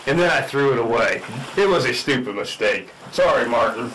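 Over clear background noise, a man plays an earnest young fish expert in a spoof radio drama. He is blunt and insistent, explaining things in a mid-range voice. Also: mid-range voice, expressive, moderate pace, fairly bright tone, natural voice. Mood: sheepish. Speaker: amateur radio drama actor. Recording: noisy track with background ambience.

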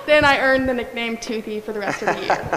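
Higher-voiced teen girl, chatty. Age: teens. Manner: chatty, laughing while telling a story. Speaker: cheerleader guest. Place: large classroom.

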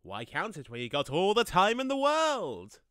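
A man's voice: sits high, wildly swooping pitch, normal speed, fairly bright tone, clear, resonant tone, no echo, clean studio sound, heavily acted. A man acting in an audio play as a jokey, laid-back ghost with a casual, slangy, rambling way of talking. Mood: chatty, wistful. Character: chatty, jokey young male ghost, laid-back slacker.

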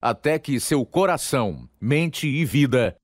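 A man's voice: medium pitch, expressive, unhurried, no echo, studio quality. A man with a Brazilian accent, announcing and narrating a scripted dramatic radio programme. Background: guitar music.

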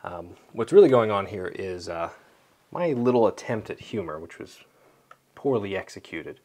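A man's voice: deep voice, expressive, moderate pace, warm tone. A man talks casually to camera as a vlogger, rambling and a little self-deprecating. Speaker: vlogger, landscape photographer. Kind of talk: casual vlog talk to camera. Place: small home studio room.

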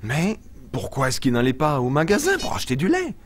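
A man's voice: fairly high pitch, wildly swooping pitch, fairly quick, slight background noise. A man playing an eager young boy lion, telling stories simply and expressively in a children's puppet show. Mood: excited, craving. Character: eager young boy lion, cheerful storyteller of Bible tales. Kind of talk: animated storytelling for small children.

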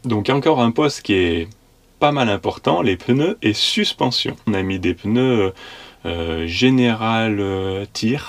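A man's voice: fairly low pitch, lively intonation, takes it slowly. A man in his thirties casually explaining his van conversion from inside the van, hesitant, with many fillers. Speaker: vanlife vlogger.

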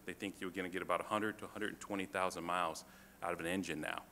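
Low gravelly man in a reverberant chamber. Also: steady tone, pushed and bright. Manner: halting, many fillers and restarts. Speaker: fire department official presenting to council. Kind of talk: council meeting presentation. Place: council chamber. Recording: reverberant chamber, faint room noise.

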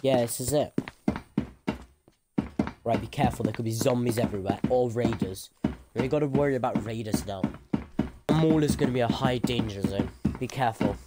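A man's voice: mid-range voice, fairly quick, long pauses. Young male gamer, casual improvised role-play, hesitant and mumbly, heavy noise and clicks on the mic.

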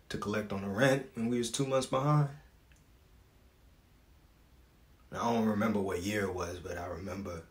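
A man's voice: deep voice, fairly bright tone. A man acting a dramatic stage monologue as a proud, wounded grown son speaking to his father. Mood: quiet, reflective. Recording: echoey room.

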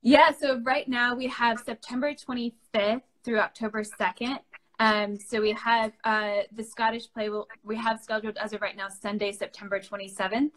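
A woman's voice: on the high side, flat delivery, a bit bright, rough recording. Friendly woman hosting a webcam interview. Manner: friendly, encouraging, quick to agree. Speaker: host. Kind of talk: casual video call interview. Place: home room indoors. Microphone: video call webcam.

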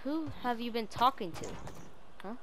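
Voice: mid-range voice, expressive, moderate pace, fairly bright tone, slight background noise. A female player improvising a roleplay over game voice chat, confrontational and commanding in manner.

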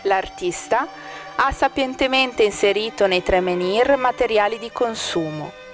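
A woman reading a scripted art-exhibition commentary in a measured, formal manner. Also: mid-range voice, music in the background. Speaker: exhibition narrator. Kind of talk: scripted art-critic narration.

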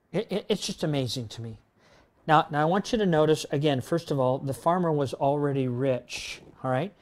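A man in his sixties preaching a sermon in a church room, conversational, tossing in "right?" and swinging between loud and soft. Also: mid-range voice. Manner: conversational preaching, tag questions, dynamic swings in loudness. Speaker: pastor. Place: church room with projector screen.

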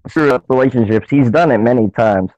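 A man co-hosting a casual wrestling podcast, excitable, laughing often and tripping over his words. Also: mid-range voice, a little fast, no echo, dull audio. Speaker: podcast co-host.

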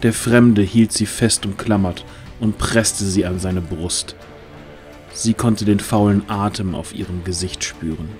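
A man's voice: fairly low pitch, fairly even intonation, unhurried, darkish tone, soft background music. A man reading scripted, dramatic fantasy narration as the narrator of an audio drama.

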